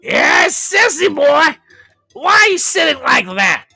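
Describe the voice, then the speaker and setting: A man's voice: very high voice, very animated, takes it slowly, vocal fry, bright, forceful tone, pitched above the performer's own voice, full character voice. A man voicing a cranky, abusive old grandmother who scolds and taunts in a bossy, scornful way, for a comedy story reading. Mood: scornful, scolding. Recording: echoey room with music behind.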